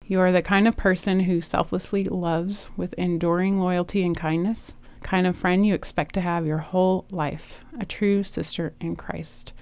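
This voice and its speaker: A woman hosting a faith podcast interview, warmly affirming her guest and reading prepared words. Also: on the low side, smooth, no echo. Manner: warm, affirming, reads prepared tribute. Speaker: podcast host.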